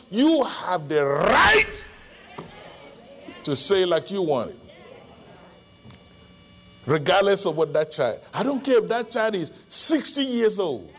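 High, animated Nigerian pastor preaching. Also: male voice, vocal fry. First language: Yoruba. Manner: emphatic preaching, bursts into shouting. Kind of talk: sermon.

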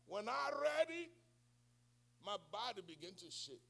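A male pastor preaching a sermon, speaking English as a second language, who swings between soft, quiet passages and shouted, call-and-response preaching. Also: high voice, big pitch swings, a little slow, vocal fry. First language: not English.

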